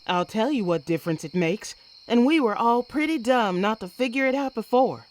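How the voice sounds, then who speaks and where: An actress playing a sharp, defiant Old West woman sheriff with folksy speech, in a western audio drama. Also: fairly high pitch, expressive, normal speed, close to an everyday voice. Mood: commanding. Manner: folksy frontier talk, assertive. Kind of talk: western comic-book audio drama acting.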